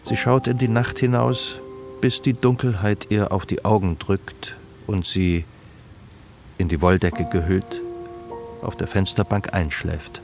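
A man's voice: low-pitched, fairly even intonation, a little slow, vocal fry, over music. A man delivering scripted narration as the narrator of a radio drama.

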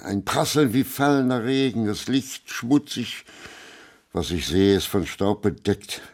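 Radio drama: weary, melancholy musician, deep voice, unhurried delivery, brooding and musing. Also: male voice, expressive, a little mellow. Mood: ailing, bleak. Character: Gottfried Reiche, weary, troubled male trumpeter, brooding and melancholy. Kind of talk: German radio drama acting.

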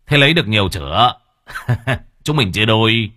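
A man's voice: lowish voice, expressive, normal speed, pushed and bright, dry recording, studio quality. A man playing a corrupt, bullying market warden who sneers and barks. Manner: sneering, barking, mocking.

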